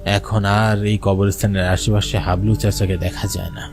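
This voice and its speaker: A man's voice: on the low side, flat delivery, normal speed. A man narrating a first-person ghost story for a cartoon in a dramatic way. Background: music under the voice.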